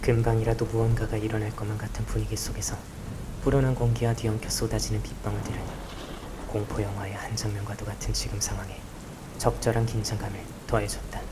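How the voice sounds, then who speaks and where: A man playing a brooding, gloomy young protagonist of a visual novel, with subdued, halting delivery. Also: fairly low pitch, flat delivery, noisy background, natural voice. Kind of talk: visual novel inner monologue and dialogue. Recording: loud rain and thunder behind. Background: rain and thunderstorm.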